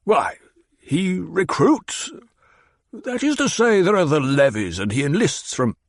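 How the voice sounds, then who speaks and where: A man's voice: mid-range voice, sing-song, normal speed, slightly rough, no echo, clean studio sound. A man voicing a fat, petulant, demanding baron who blusters and growls. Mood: blustering.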